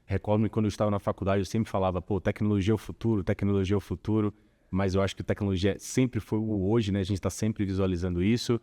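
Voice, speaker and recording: A man from Bahia with a Bahian accent, chatting as a corporate guest in an interview, relaxed and full of small filler tags. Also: fairly low pitch, fast. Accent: Bahian Portuguese. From Salvador, Bahia, Brazil. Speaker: corporate tax director, guest. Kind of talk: casual interview conversation.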